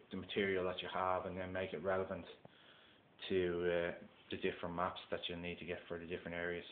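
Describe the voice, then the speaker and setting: A man with a Dublin Irish accent, talking casually to camera in a halting way, with lots of fillers and restarts. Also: low voice, a little hiss or hum. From Dublin, Ireland. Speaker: gold prospecting vlogger. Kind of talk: casual instructional talk to camera. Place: indoors at a table. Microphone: phone camera. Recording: tinny lo-fi phone recording.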